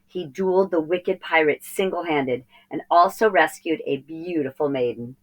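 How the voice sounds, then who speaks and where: A woman with a low-pitched voice narrates a children's storybook. She reads aloud expressively, telling the tale for young listeners. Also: moderate pace, rough recording. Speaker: storybook reader.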